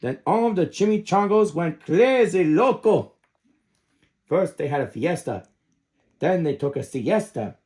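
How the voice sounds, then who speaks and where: A man's voice: a little high, animated, measured pace, a little mellow. A man in his thirties reading a children's story aloud expressively. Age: 30s. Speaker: storytime reader.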